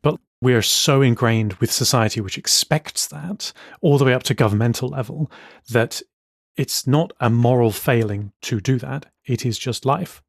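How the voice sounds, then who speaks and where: A man co-hosting a podcast in casual chat, relaxed, often agreeing and adding quick asides. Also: mid-range voice, creaky, dry recording, studio quality. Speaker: podcast co-host.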